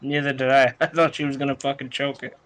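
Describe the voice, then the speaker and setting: A man's voice: mid-range voice, level tone, fast talker, a crowd in the background. A man chatting bluntly and casually as a panelist on a podcast. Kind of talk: casual chat.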